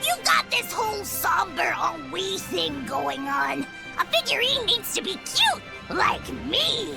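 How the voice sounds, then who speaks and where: An actress voicing a small, vain, excitable creature in a video game, brash and exclamatory. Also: female voice, extremely high pitch, a little slow, gravelly, over music, heavily acted. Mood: cheeky, smug. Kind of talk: English game voice acting.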